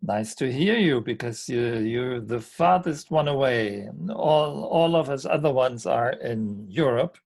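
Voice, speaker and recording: A man calmly introducing students one by one in an online seminar. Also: medium pitch, a little slow, few pauses. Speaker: professor hosting seminar. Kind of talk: moderating introductions. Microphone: video call.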